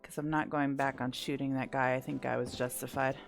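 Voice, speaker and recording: A woman's voice: extremely low pitch, flat delivery, brisk, gravelly. A woman giving casual playthrough commentary, thinking aloud in a wry, self-joking way. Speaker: gaming content creator. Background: faint game music.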